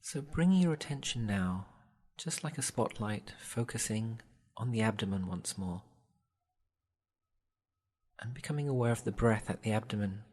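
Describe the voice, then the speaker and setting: A man guiding a meditation in a gentle, soothing, measured way, leaving long pauses between instructions. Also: on the low side. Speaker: meditation guide. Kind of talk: guided body scan meditation.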